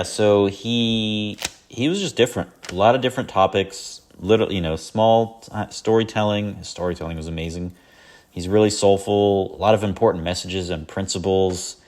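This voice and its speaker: Male podcast host, low voice, rambling and self-deprecating, casual solo chat.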